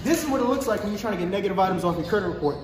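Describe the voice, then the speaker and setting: A man reading an upbeat promotional pitch in a pushy, salesy way. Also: animated, rapid-fire, roomy sound, long reverb tail, distant mic, heavy background noise. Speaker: ad announcer.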